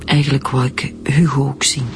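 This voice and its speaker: A woman's voice: extremely low pitch, level tone, moderate pace, vocal fry, loud music behind the voice. Uneasy, hesitant Flemish mother. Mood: uneasy confession. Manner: hesitant, stammering slightly, repeats words. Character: wealthy troubled mother, torn, uneasy, defensive. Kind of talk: Flemish radio drama acting.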